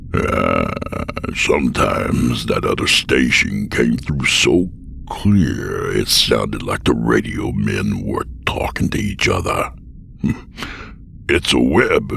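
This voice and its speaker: A man voicing a weary, cryptic old man in a horror story, muttering slowly and hesitantly with hums and chuckles. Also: extremely low pitch, vocal fry, a little hiss or hum, voice pushed down, heavily acted.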